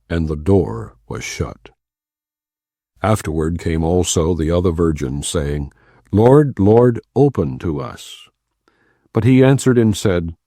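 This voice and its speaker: Deep solemn male scripture reader. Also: creaky, dark tone, dry recording, studio quality. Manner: measured, solemn reading. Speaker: Bible reader.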